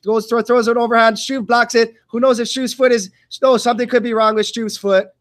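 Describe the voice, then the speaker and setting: A man doing live radio play-by-play of a fight in short, halting phrases that often repeat. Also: very high voice, flat delivery. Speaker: play-by-play commentator.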